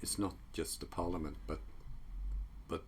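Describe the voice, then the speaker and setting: A man whose first language is Swedish, a psychology researcher talking casually in a solo video, halting often with fillers and restarts. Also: low voice, fairly even intonation, slight background noise.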